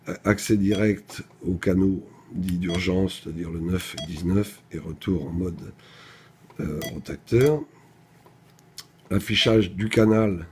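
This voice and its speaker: A man's voice: low-pitched, darkish tone, slight background noise. A man presenting a product in an unscripted technical demonstration, explaining things methodically, step by step.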